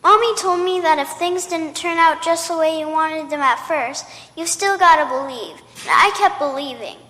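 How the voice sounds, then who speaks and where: A woman voicing an earnest, hopeful little girl in a radio play, her lines sometimes wistful and sometimes excitable. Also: high-pitched, moderate pace, in a large hall. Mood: excited.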